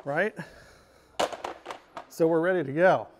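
Man in his thirties, showroom walkaround, chatty, fillers, slightly high voice. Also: takes it slowly, noisy background. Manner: chatty, frequent "um" and "right?". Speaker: store product presenter. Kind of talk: casual product walkaround. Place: large bright indoor gear showroom.

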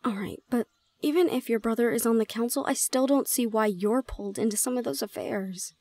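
A woman playing a shy, easily flustered young gorgon student in an audio roleplay, speaking in halting, exclamatory questions. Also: on the high side, a little mellow, dry recording. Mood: shocked. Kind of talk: audio roleplay drama acting.

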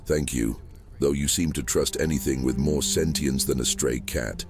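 Very deep, gravelly djinn. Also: male voice, moderate pace, music in the background. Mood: guarded. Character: secretive ancient djinn possessing a vampire's body, burdened. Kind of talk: immersive fantasy roleplay audiobook acting.

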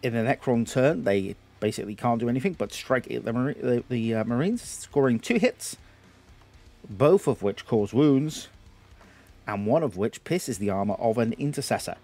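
A man in his thirties with a Geordie accent, narrating a tabletop battle report at home, slightly hoarse, rambling with lots of fillers. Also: medium pitch, expressive, moderate pace, mellow, faint music behind. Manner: rambling, self-deprecating, lots of filler words. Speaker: YouTube host. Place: home games room.

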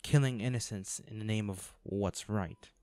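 A man's voice: lowish voice, normal speed, dark tone, no echo, close to an everyday voice. A man playing a protective, devoted hunter boyfriend in a dramatic roleplay audio, speaking earnestly and intensely. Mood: tender, weary.

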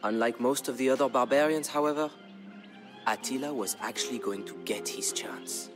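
A man playing a solemn storyteller in a strategy game, narrating war history in a measured, dramatic, deliberate way. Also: mid-range voice, lightly acted. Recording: narration over background music. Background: music.

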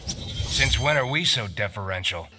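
A cocky wisecracking mercenary. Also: male voice, fairly low pitch, lively intonation, unhurried, background noise. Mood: smug. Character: cocky male mercenary fighter, sarcastic. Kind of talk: video game character dialogue. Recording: game audio under loud music.

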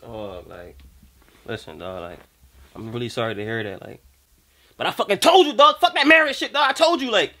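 A young American man playing an excitable slacker friend in a comedy skit, loud, slangy and repeating himself in protest. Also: on the low side, moderate pace, vocal fry, pauses often.

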